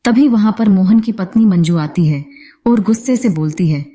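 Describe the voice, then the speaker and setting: A woman's voice: medium pitch, moderate pace, dark tone. A woman narrating a scripted horror story as its storyteller.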